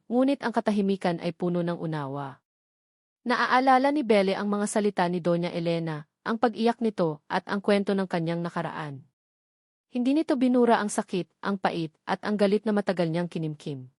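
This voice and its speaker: A woman's voice: mid-range voice, animated, normal speed, darkish tone, no room sound, clean studio sound. A woman with a Filipino accent reading a scripted, dramatic story as its narrator.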